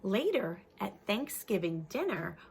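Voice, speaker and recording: A woman reads a picture book aloud to young children in an expressive way, adding simple, friendly-worded explanations as a teacher would. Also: mid-range voice, unhurried, vocal fry, slightly rough.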